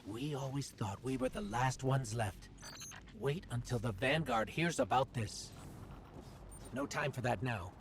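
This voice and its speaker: A man voicing a small, earnest robot companion in a video game, speaking with expressive urgency. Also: mid-range voice, a little slow, natural voice. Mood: awed. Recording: loud game ambience and engine noise.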